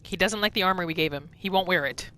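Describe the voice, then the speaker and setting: A woman's voice: on the low side, fast talker, very noisy. A woman gaming YouTuber giving casual, story-like commentary as she narrates a roleplay playthrough. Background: game music.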